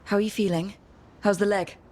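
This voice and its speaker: A woman playing a calm, supportive and gently teasing hero in a fantasy video game, with natural delivery. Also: medium pitch, brisk, close to an everyday voice. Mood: concerned. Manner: gentle, encouraging.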